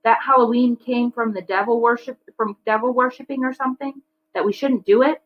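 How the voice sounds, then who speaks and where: A woman's voice: on the high side, fairly even intonation, a little fast, muffled recording, pitched above the performer's own voice, close to an everyday voice. Woman voicing an earnest, questioning young girl. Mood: hesitant. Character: earnest, curious young schoolgirl.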